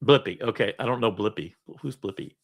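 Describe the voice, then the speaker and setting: A man's voice: lowish voice, a little fast, creaky. A middle-aged man, the host, telling rambling stories in casual chat, laughing often. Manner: storytelling, animated, laughs often. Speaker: podcast host.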